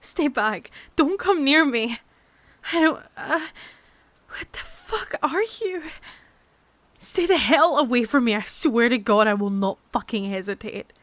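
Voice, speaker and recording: Horror ASMR roleplay: actress as scared, stranded young woman, high-pitched, unhurried delivery, sweary, prone to whispering. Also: lively intonation, fairly bright tone, dry recording. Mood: terrified, threatening. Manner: breathy sighs, drops into whispers, sweary. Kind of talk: improvised ASMR audio roleplay.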